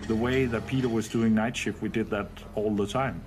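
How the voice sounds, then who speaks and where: Low-voiced male interviewee, music behind. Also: fairly even intonation, moderate pace, a little mellow. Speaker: air traffic controller interviewed. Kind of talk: unscripted interview recollection.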